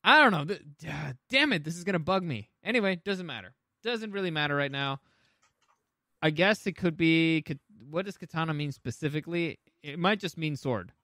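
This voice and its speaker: A man co-hosting a podcast in loose, argumentative banter. His voice sits a little high for a man and is recorded very dry. He is brash and swears freely. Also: animated, moderate pace, sharp tone, dry recording. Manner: brash, foul-mouthed, argumentative. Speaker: podcast co-host. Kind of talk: casual chat, pop culture ranking banter.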